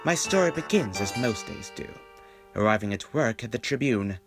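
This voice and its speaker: A man's voice: fairly low pitch, animated, normal speed, fairly bright tone, slightly rough, heavily acted. A man playing an earnest, put-upon reporter in a radio play, polite and a little melodramatic. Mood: confessional. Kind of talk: old-time radio play narration and dialogue. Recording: music and crowd behind.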